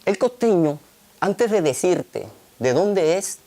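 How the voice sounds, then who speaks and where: Rambling Caribbean Colombian man, lively pitch. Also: takes it slowly, creaky. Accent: Colombian Caribbean Spanish. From Colombian Caribbean coast. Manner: rambling, many fillers and tag questions. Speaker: interviewee. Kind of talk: documentary interview.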